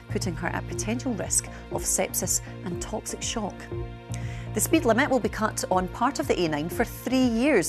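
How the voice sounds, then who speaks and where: A woman presenting a regional news programme, chatty, with tag questions to viewers and guests. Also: lowish voice. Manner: conversational, adds tag questions. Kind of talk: news presenting and live interview.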